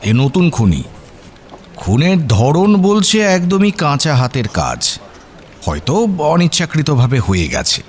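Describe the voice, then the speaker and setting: A man's voice: a little high, very animated, slow pace, a little hiss or hum. A man playing an affable local police officer in an audio drama, talking in a genial, conversational way. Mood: speculating. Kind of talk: Bengali radio drama acting.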